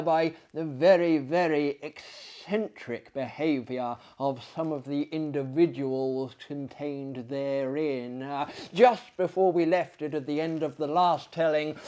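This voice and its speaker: Slow-paced, theatrical male storyteller. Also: fairly high pitch, a little mellow. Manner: hammy, dramatic, leisurely formal phrasing. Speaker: storyteller, performer. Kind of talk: theatrical storytelling with chatty asides.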